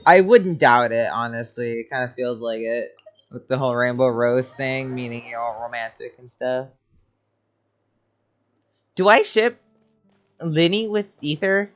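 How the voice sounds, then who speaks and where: A woman's voice: rumbling low, measured pace, long pauses. A woman giving casual, amused, slangy asides while playing through a game. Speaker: streamer reacting to a game. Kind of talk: casual playthrough commentary. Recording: game music behind the voice.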